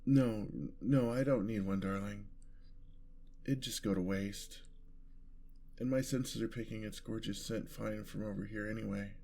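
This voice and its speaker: A man playing a gentle, lovestruck, world-weary vampire in an ASMR roleplay, with courtly, flowery and tender phrasing. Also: on the low side, steady tone, unhurried, vocal fry. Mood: fond, wistful. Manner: formal, flowery, tender old-fashioned phrasing.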